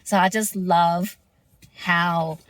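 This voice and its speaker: Woman losing her voice, low-ish, dry close mic, earnest reflective podcast chat. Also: fairly even intonation, extremely slow, no echo, low-quality recording. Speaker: podcast host. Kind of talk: solo podcast monologue.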